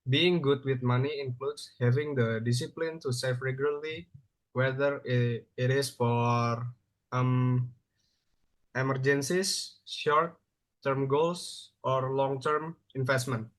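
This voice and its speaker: Young male student, Indonesian accent, mid-pitched, careful and halting, prepared spoken answers. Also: rough recording. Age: young adult. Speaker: university student. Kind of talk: prepared question-and-answer speaking exercise.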